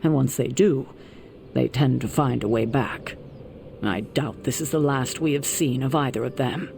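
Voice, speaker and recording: An actor playing a composed, authoritative leader of a superhero team, speaking in a measured, formal, articulate way in a dramatic video game. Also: male voice, mid-range voice, vocal fry, darkish tone, slight background noise, lightly acted. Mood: grave, informative. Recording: music behind the voice.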